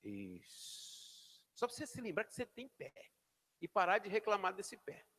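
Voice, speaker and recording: A pastor preaching a sermon in a church, shouting then dropping to near whispers as he calls the congregation to repeat after him. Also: sits high, huge pitch swings, normal speed, husky. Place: church hall. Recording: long hall reverb.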